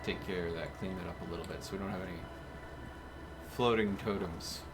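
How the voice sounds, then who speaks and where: A man live streaming a video game, chatting casually to viewers in an expressive, playfully mock-dramatic way. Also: sits low, a little fast. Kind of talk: casual gaming commentary to viewers. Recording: music behind the voice. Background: music.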